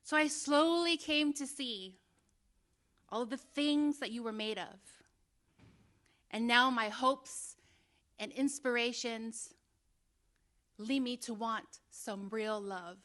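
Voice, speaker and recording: A woman's voice: fairly high pitch, slow pace, long pauses, a bit bright. A woman giving a dramatic spoken reading of verse. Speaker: news reporter reading lyrics.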